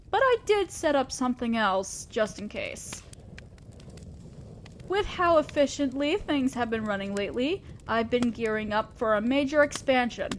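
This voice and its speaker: A woman's voice: fairly high pitch, a little hiss or hum. A woman playing a fair-minded but ambitious demon lord boss in a scripted audio drama, speaking formally and directly to an underling, with a sly teasing streak. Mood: relieved, surprised.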